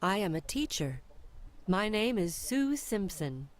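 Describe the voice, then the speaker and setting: A woman's voice: mid-range voice, sing-song, unhurried. A woman with an American accent reading short English lesson lines in a plain, careful way. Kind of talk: slow scripted lesson reading. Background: harp music.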